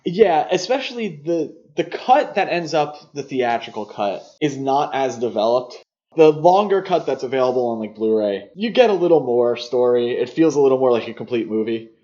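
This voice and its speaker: Man, mid-pitched voice, podcast co-host, casual opinionated film talk, slightly muffled lo-fi recording. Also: sing-song, moderate pace, dark tone. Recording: slightly dull lo-fi podcast audio.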